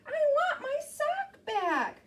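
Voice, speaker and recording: A woman voicing a shrill, giggly little girl who wants her sock back. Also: sing-song, takes it slowly, fairly bright tone, distant mic, slight background noise, full character voice. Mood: insistent.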